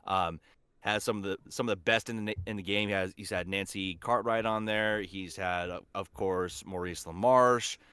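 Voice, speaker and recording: A man's voice: low voice, fairly even intonation, normal speed, a bit bright, smooth, no echo. A man chatting casually as a voice actor guest on a podcast, speaking in an enthusiastic, earnest way.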